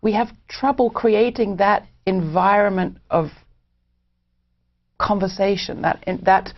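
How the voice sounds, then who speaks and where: A woman interviewer speaks in a measured, conversational style, reworking her questions as she goes. Her voice has plenty of vocal fry. The audio is a little dull and lo-fi. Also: mid-range voice. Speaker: interview host.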